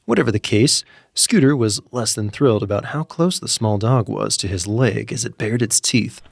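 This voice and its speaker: A man narrating a scripted comic story in an animated, wry way. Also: on the low side, moderate pace, gravelly, dry recording. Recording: music behind the voice. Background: background music.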